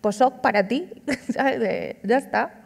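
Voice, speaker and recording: A woman's voice: on the high side, a little slow, vocal fry, slightly rough. A woman in her thirties, an author, speaking casually and animatedly at an onstage book talk, tossing in frequent tag questions. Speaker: author and comic artist. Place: large auditorium stage. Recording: long hall reverb.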